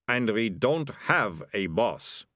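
A man voicing a gruff, suspicious bully of a rat catcher with a creaking, menacing voice for a storybook. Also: on the low side, very animated, takes it slowly, sharp tone, no room sound, clean studio sound, full character voice. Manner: menacing, rough colloquial speech.

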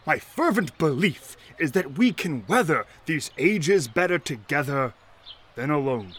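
An actor playing an earnest, pompous, kindly shantytown mayor with formal, florid speech in a 1930s audio drama. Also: male voice, on the high side, sing-song, a little slow, fairly bright tone, breathy edge, heavily acted. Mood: rousing, solemn.

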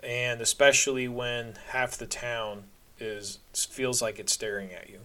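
A man's voice: medium pitch, flat delivery, measured pace, bright, forceful tone. A man recounting his own experiences in a candid, conversational storytelling style with a wry sense of humor. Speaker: podcast narrator. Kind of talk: casual personal storytelling.

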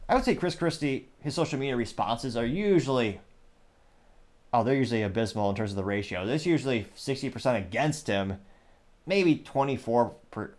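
A man's voice: mid-range voice, expressive, darkish tone. Rambling male commentary show host. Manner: rambling, self-correcting, mentions stuttering and speaking fast. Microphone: small USB microphone.

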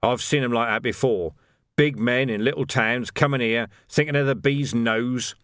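A man voicing a sly, resentful sergeant in an audiobook, grumbling in rough common speech. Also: mid-range voice, moderate pace, fairly bright tone, no room sound, clean studio sound, lightly acted. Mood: bitter. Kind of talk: audiobook character voice.

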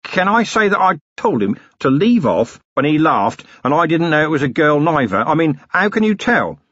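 A man voicing a cowardly, whining small-time male crook who stammers and wheedles in rough working-class speech. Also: on the high side, big pitch swings, normal speed, a bit bright, dry recording, dull audio, voice pushed up, full character voice.

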